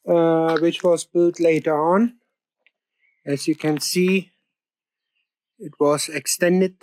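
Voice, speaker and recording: Calm man. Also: fairly high pitch, rough recording. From Germany. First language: German. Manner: calm, steady, with frequent uh and um pauses. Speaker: channel co-host and narrator. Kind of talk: casual chat and tour narration.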